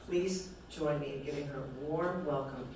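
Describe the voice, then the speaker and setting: A man's voice: fairly high pitch, normal speed. A man with an American Midwest accent hosts an event and reads a formal, enthusiastic introduction of a guest author. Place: large event hall. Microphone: distant room mic. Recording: very echoey hall, from far off, faint noise.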